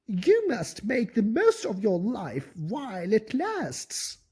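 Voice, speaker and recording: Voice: mid-range voice, huge pitch swings, slow pace, mellow, husky, pitched above the performer's own voice, exaggerated voice. A storyteller voicing an elegant, condescending town mouse with a polished, urging manner in a storybook fable. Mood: condescending, persuasive.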